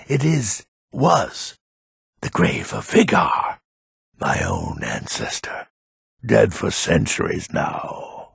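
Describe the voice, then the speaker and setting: Game role: haughty old mage-lord, grumpy and curt, heavily gravelled and husky, with wide swings in pitch. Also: male voice, dry recording, studio quality, heavily acted. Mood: hushed, ashamed. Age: older. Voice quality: gravelly, raspy. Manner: gruff, curt, impatient. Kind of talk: video game character dialogue.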